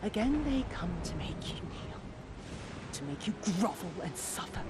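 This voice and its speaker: A woman playing a bitter, mocking noblewoman villain in a video game, speaking in a theatrical, deliberate way. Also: mid-range voice, very noisy. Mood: bitter resolve. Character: bitter, scornful noblewoman villain commanding a castle's defence. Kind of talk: dramatic video game cutscene acting.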